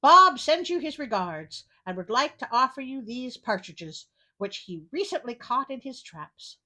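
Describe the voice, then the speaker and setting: A woman reads the part of a sly storybook tomcat in boots, bright and clear in intent. He is courtly and self-assured, a smooth-talking schemer who always sounds in charge. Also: high voice, big pitch swings, unhurried, heavily acted. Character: clever, smooth-talking male cat in boots, confident schemer.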